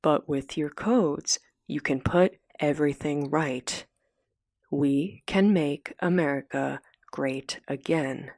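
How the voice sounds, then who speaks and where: A woman voicing a small male henchman who speaks in a slow, hypnotic, coaxing way, as in an audiobook. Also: low-pitched, a little mellow, no room sound, clean studio sound, pitched below the performer's own voice, exaggerated voice. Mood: hypnotic persuasion. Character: smaller male henchman, hypnotic, patriotic, quietly menacing persuader.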